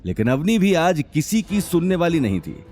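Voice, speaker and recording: A man's voice: fairly high pitch, sing-song, moderate pace, a little mellow, faint music behind. A man working as narrator, reading a scripted dramatic story.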